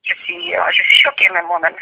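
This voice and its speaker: A woman's voice: lowish voice, flat delivery, fairly quick, creaky, sharp tone. A woman in her forties from DR Congo, answering interview questions hesitantly with many fillers and indignant denials.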